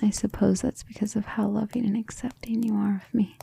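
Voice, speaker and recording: A gentle, earnest vampire lover, played by a woman in an intimate ASMR roleplay. Her voice is mellow and breathy with some creak, and she speaks tenderly and hesitantly, as if confiding in someone dear. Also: medium pitch, little pitch movement, brisk, exaggerated voice. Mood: tender, grateful. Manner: soft, hesitant, tender, often breathy near-whisper. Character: gentle, earnest, self-doubting female vampire, devoted lover.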